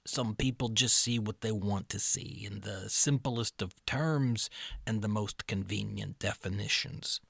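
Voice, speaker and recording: A man's voice: on the low side, unhurried, gravelly, a bit bright, husky, no echo, studio quality. A man narrating a scripted children's story.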